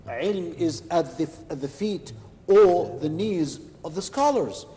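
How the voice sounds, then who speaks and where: A man hosting a live religious discussion panel, speaking in a measured way and slowing down to stress his points, with Arabic phrases mixed in. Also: a little high, expressive, warm tone, in a large hall.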